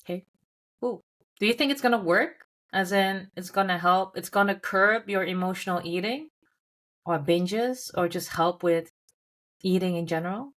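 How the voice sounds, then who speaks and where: A young woman with a slightly low voice joins a casual podcast conversation. She speaks directly, asks pointed questions and tells stories in an animated way. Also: moderate pace. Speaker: podcast co-host. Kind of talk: casual chat.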